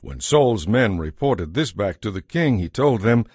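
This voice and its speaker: A man narrating a dramatized Bible story from a script in a measured, expressive storytelling manner. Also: lowish voice, breathy edge, dry recording, studio quality.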